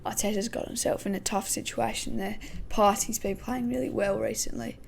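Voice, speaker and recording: A woman hosting a casual football podcast, her voice croaky, thinking aloud with many hesitations. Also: mid-range voice, fairly even intonation, normal speed, a little hiss or hum. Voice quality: gravelly. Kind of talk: casual pre-match football talk.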